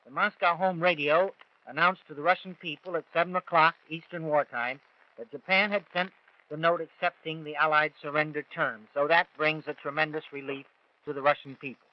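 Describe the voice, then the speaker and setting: A man's voice: fairly high pitch, steady tone, brisk, no room sound. An American man reading news items as a 1940s radio reporter. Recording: dull vintage 1940s radio broadcast.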